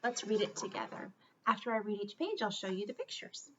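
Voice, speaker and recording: A woman's voice: medium pitch, animated, fairly quick, muffled recording, noisy background. A woman reading a picture book aloud as a school storyteller, expressively and with dramatic emphasis. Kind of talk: expressive children's read-aloud.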